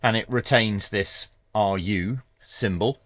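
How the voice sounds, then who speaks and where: A man talks through a hands-on product review. His voice sits on the low side and is recorded dry, with no room sound around it. He explains things in a measured, factual way. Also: fairly bright tone, no room sound. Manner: measured, matter-of-fact explaining. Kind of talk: hands-on tech review commentary.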